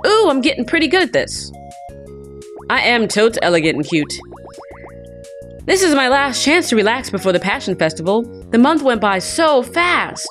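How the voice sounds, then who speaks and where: A woman's voice: a little high, sing-song, normal speed, a bit bright, faint music behind. Woman doing a cute bubbly mermaid girl from a game, chirpy, swoops all over. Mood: pleased. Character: cute, bubbly, dreamy young female mermaid dancer.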